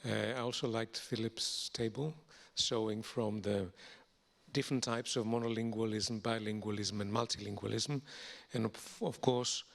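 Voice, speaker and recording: A man with a low, gravelly voice takes his turn on a panel. He speaks in a measured, deliberate way. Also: steady tone. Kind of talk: panel discussion.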